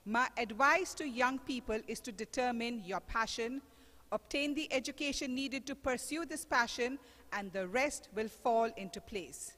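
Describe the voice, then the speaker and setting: A woman's voice: fairly high pitch, a bit bright. Woman reading a formal introduction in a hall. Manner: reads a prepared introduction, measured. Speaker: host introducing the speaker. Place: large lecture hall. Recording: reverberant hall.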